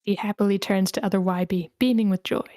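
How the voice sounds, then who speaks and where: A woman's voice: medium pitch, fairly even intonation, fast talker, warm tone, no echo. A woman reading a screenplay's stage directions as the narrator in scripted narration.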